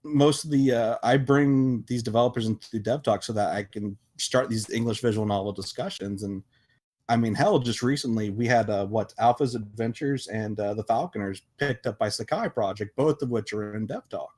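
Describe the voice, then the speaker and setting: A man's voice: fairly low pitch, moderate pace, a little mellow. A man talking casually on a podcast as a panelist, emphatic and often restarting his sentences as he makes his point. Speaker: podcast panelist and visual novel developer.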